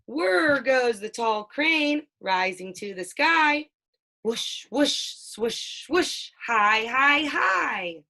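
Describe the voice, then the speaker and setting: A mother reading a children's picture book aloud, with sing-song storytelling and drawn-out sound words. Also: high-pitched, expressive, creaky, bright, forceful tone. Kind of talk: children's read-aloud with questions.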